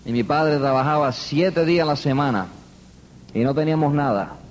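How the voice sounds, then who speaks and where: An older man from New York tells his story to a live audience, with earnest, emphatic repetition. His voice sits a bit high for a man and carries a long reverb from a large hall. A faint ambient hum runs underneath. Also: moderate pace. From New York, United States. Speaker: motivational speaker. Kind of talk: motivational speech to a live audience.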